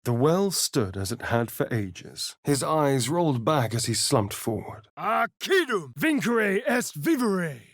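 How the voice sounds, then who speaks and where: An actor playing a sardonic, vain vampire spawn rogue in a video game, with a theatrical, drawling British delivery. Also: male voice, on the high side, big pitch swings, a little slow, hardly any pauses, dry recording, clean studio sound, heavily acted. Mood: intense incantation. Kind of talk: video game character voice lines.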